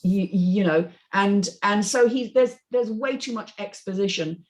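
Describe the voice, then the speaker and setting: A woman's voice: medium pitch, unhurried, a little mellow. A woman on a script reading panel giving an unscripted, emphatic and blunt critique in discussion.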